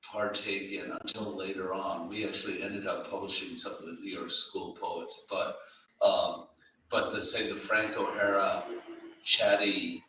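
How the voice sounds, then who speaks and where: In a strongly reverberant room, off the mic, a man talks about literature unscripted. He is slow and halting, choosing each phrase with deliberation in a bright, somewhat low voice. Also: little pitch movement, slight background noise. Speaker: literary event speaker. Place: reading room at a live literary event. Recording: very echoey room, distant microphone.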